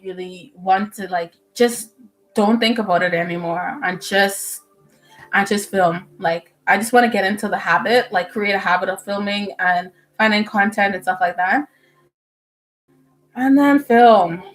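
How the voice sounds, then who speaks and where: A young woman from the Bahamas, a medical student, chatting casually with viewers on a livestream at home, animated and full of fillers and laughs. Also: mid-range voice, a little slow. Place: indoors at home. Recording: soft music playing behind.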